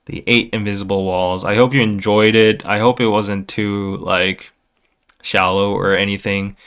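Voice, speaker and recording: A man's voice: lowish voice, little pitch movement, unhurried, a bit bright, clear, resonant tone. Playful man chatting on a livestream. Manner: playful, jokey, often chuckling. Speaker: podcast livestream host. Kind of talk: casual livestream chat, reading viewer comments.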